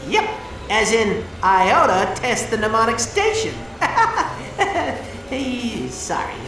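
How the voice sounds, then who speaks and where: Lively, perky robot helper. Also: female voice, fairly high pitch, slow, background noise, heavily acted. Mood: perky, kindly. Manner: chirpy, informal. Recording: echoing space under loud music.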